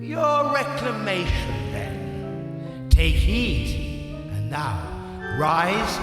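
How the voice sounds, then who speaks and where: A man's voice: a little high, huge pitch swings, drawn-out words, heavily acted. A man playing an ancient, childlike, unearthly spirit in a radio play, solemn and commanding in manner. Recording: cavernous reverb, music over the voice.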